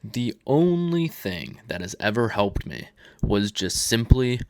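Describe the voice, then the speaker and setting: A young man talking casually to the camera in a laid-back, chill way. His voice sits fairly low and has a gravelly, fried edge. He slides up and down in pitch as he makes his points, and the sound is close and dry. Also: slow, dry recording. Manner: relaxed, chill delivery, slips between higher and deeper pitch to demonstrate. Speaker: self-improvement vlogger. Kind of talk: casual advice talk to camera.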